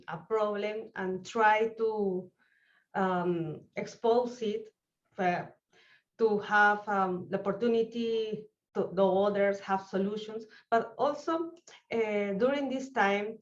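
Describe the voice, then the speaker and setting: Female journalist, very slow halting interview answers, muffled call sound. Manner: halting, careful word-finding. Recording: dull low-bandwidth call audio.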